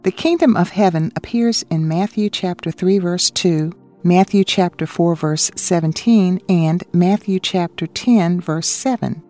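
A woman co-hosting a radio ministry, reading a scripted Bible study in a measured way and citing chapter and verse. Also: fairly low pitch, warm tone, faint music behind.

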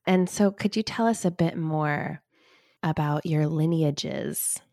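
A woman hosting a podcast, asking her guest gentle questions and often saying "like". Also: low-pitched, slow pace, no echo, studio quality. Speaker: podcast host. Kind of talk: podcast interview.

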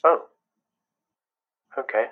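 Slow, wistful, controlling man. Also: dull audio. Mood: taken aback. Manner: measured, fragmented, repetitive phrasing. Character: wistful, controlling, quietly menacing man recounting his dates. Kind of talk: radio play monologue and dialogue.

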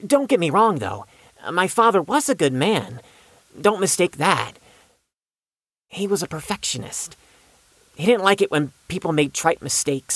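Man as wounded competitive young man, formal and precise, lively intonation, dry recording, audio drama. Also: fairly high pitch, no echo, exaggerated voice. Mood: defensive. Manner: formal wording, precise, defensive.

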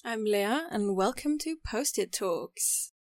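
A woman's voice: mid-range voice, lively intonation, slow, darkish tone, dry recording, clean studio sound. A young woman co-hosting a podcast, chatty and laughing as she talks in a casual conversation. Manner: chatty, laughs while talking. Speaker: podcast co-host. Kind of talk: casual chat.

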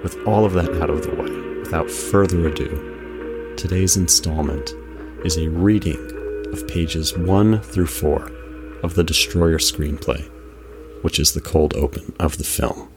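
A male podcast host delivers a scripted introduction. His voice is low and his phrasing formal and deliberate, with music loud underneath.

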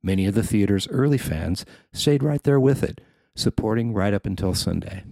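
A man's voice: fairly low pitch, lively intonation, normal speed, vocal fry, mellow, no room sound. A man reading his own written feature article aloud as scripted narration.

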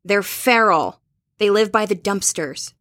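A woman's voice: medium pitch, a little slow, fairly bright tone, close to an everyday voice. A woman playing a studious, skeptical young roommate, speaking bluntly and dryly in an audio drama. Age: young adult. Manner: dry, matter-of-fact, challenging. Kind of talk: horror audio drama dialogue.

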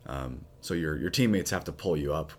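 A man with a raspy, recovering voice, a priest co-hosting a podcast, chatting casually and rambling with plenty of fillers. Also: moderate pace, slight background noise. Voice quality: low, husky. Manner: relaxed, rambling with many fillers. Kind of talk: casual chat.

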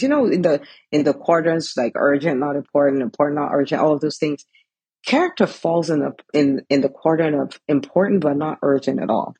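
A woman's voice: low-pitched, a little mellow, breathy edge, no echo. A woman in her forties giving an earnest motivational talk, full of "right?" and "like". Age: 40s. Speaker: coach for physicians in business.

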